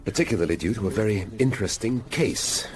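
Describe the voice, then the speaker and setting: An actor playing a gruff, inquisitive middle-aged police detective in a radio drama, with a brusque, questioning manner. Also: male voice, fairly low pitch, lively intonation, quick pace, close to an everyday voice. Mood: keen, testy.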